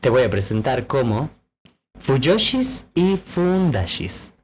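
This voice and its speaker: A man's voice: mid-range voice, big pitch swings, slow pace, a little mellow, a little hiss or hum. A man co-hosting a casual podcast, explaining at length with many fillers. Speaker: podcast co-host.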